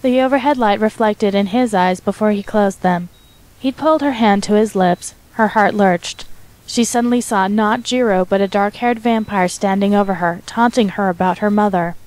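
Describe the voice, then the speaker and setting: Female narrator, mid-pitched, scripted fiction, dramatic audiobook-style reading. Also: normal speed, darkish tone, poor audio.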